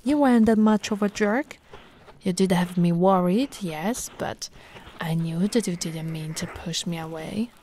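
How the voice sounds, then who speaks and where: A woman playing an affectionate, teasing lamia girlfriend in an ASMR roleplay, soft and cooing, often slipping into whispers. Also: fairly low pitch, unhurried, a little hiss or hum, lightly acted. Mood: reassuring.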